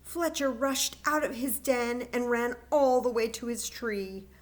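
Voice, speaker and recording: A woman reading a children's story aloud as a library story time host, expressive and chatting to young listeners with coaxing questions. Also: fairly high pitch, a little slow.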